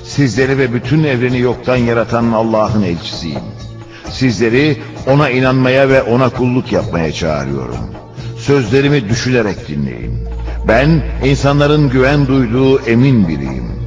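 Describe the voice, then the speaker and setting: A man voicing a solemn, devout prophet who preaches and warns in a grave, measured manner in a religious cartoon. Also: medium pitch, sing-song, a little mellow, close to an everyday voice. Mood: preaching. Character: solemn devout prophet warning his people, also narrating. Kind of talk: dramatic religious cartoon voice acting. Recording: dull lo-fi cartoon soundtrack with music.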